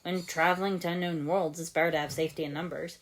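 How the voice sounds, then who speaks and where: A woman's voice: low voice, steady tone, fast talker. A woman voicing an easygoing, practical teenage male ninja in an audiobook story. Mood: casual, decided. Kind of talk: fan fiction audiobook character voice.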